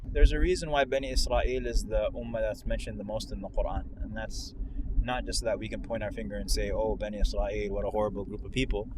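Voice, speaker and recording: Low-voiced man talking over car noise. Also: steady tone, brisk. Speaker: co-host and speaker on a ride-along talk show. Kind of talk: casual reflective religious discussion. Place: inside a moving car. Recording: in-car recording with clear vehicle noise.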